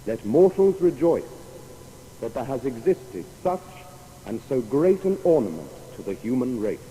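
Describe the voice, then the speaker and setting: A solemn reader of a memorial in an audio biography. His very mellow, dark voice declaims with wide melodic swings inside a long reverb. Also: sing-song, measured pace. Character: solemn male reader of a grand memorial epitaph. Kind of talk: dramatised formal reading. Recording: long reverb, faint rumbling noise.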